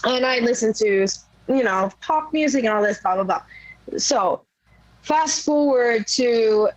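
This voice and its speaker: A young woman from Sweden with a naturally raspy voice, a rock singer chatting casually in an interview, rambling with many fillers. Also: a little high, expressive, measured pace, no room sound, poor audio. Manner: rambling, lots of "like" and "you know". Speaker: rock vocalist guest.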